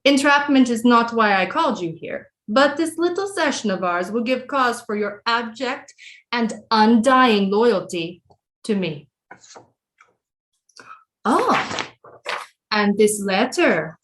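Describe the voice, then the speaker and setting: A woman's voice: on the high side, animated, fairly bright tone. Woman acting imperious, scheming queen mother. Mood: sly, menacing. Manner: grandiose, formal, deliberate period speech. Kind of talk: theatrical audio drama acting.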